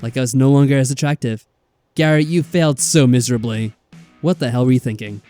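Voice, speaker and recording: A man doing casual let's play commentary, reading game text aloud with animated, mildly sarcastic reactions and some swearing. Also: medium pitch, a little fast. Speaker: let's player. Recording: music behind the voice.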